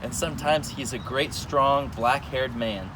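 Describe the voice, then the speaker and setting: A man playing a wise, commanding old wizard in an amateur readers' theater, reading aloud with deliberate, halting delivery. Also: medium pitch, natural voice. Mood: explanatory. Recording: noisy outdoor recording with traffic hum.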